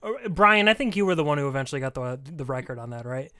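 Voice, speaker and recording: A man as a podcast co-host in casual chat, talking loud and rambling through his points with many restarts. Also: fairly high pitch, expressive, fast talker, clean tone, no echo, studio quality.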